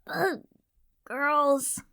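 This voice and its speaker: Very high, bright young filly. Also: female voice, extremely slow, gravelly, no room sound, studio quality, full character voice. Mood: weak, pained. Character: young female cartoon pony filly, anxious and hurting. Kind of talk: dramatic fan fiction audio reading.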